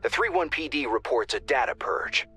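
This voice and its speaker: An actor playing a wry male operations dispatcher who briefs the squad in a dry, quick manner. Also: mid-range voice, lively intonation, bright, forceful tone, faint music behind. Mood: briefing. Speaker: mission dispatcher. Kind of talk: video game voice acting.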